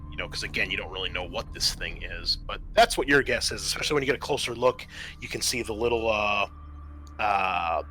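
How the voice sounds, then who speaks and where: A man with a fairly low, forceful voice acts as game master of a tabletop roleplaying game. He explains rules and describes scenes, often pausing mid-sentence before carrying on. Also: low-quality recording.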